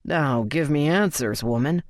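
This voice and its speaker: A woman's voice: low-pitched, measured pace, darkish tone, no echo, studio quality. A woman voicing a cocky, teasing young man.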